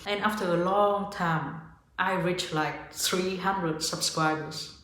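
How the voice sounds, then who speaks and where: A woman's voice: fairly low pitch, slow. Vietnamese-accented woman in an echoey room. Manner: calm, guiding, asks the listener questions. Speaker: yoga teacher and channel host. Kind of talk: reflective self-help talk to camera.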